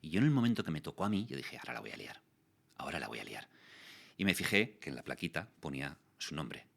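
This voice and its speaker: A man in his forties, a guest in an interview, explaining things in a lively way and acting out the people in his stories. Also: a bass voice, fast talker, vocal fry. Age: 40s. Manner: explanatory, animated storytelling with voiced quotes. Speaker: guest, voice actor and communication coach. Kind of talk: casual interview talk.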